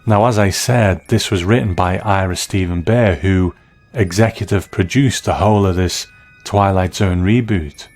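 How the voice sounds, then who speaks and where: A man's voice: low-pitched, darkish tone. A man delivering scripted commentary as the narrator of a review.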